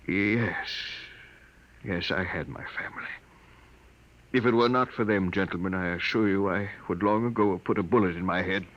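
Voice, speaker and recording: A male actor playing a lonely, elderly gentleman scientist in a 1950s radio drama, speaking formally and haltingly, often repeating his words. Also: low voice, close to an everyday voice. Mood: grave, sorrowful. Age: older. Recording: dull vintage 1950s radio broadcast.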